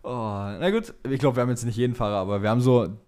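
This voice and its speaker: A man's voice: medium pitch, fast, darkish tone. Male podcast co-host, informal and slangy, drops in English words, conversational delivery. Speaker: podcast co-host reading listener questions. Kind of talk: casual chat about motorsport.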